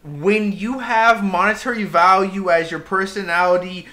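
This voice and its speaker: A young man co-hosts a laid-back podcast and talks in a bright, cutting tone. He gets worked up as he goes, rambling through his point and then punching it home with sudden emphatic bursts. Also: sits high, fairly even intonation, moderate pace. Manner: impassioned ranting with emphatic outbursts. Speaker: podcast co-host. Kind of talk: casual podcast rant.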